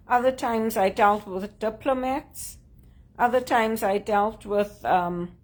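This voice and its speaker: A woman from Zimbabwe with a Zimbabwean accent, talking to camera in an earnest, measured way about job hunting. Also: mid-range voice. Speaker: job seeker sharing tips. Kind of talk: casual talk to camera.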